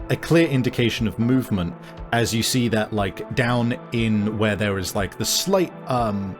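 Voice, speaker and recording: A man narrates a tabletop roleplaying scene in a low voice over clearly audible music. He takes his time, feeling his way through each description with frequent pauses. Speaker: game master. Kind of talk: improvised tabletop game narration.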